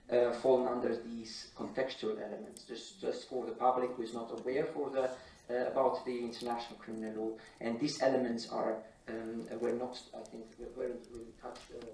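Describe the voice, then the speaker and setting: Male academic panelist, medium pitch, halting non-native English, roomy echoing sound. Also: vocal fry, darkish tone. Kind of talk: informal explanation of law to an audience. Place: indoor conference room. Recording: echoey room, slightly distant mic.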